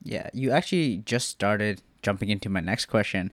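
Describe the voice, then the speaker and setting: A man hosting a podcast, asking long, winding questions in a relaxed conversational way. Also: fairly low pitch, normal speed, dry recording, studio quality. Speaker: podcast host.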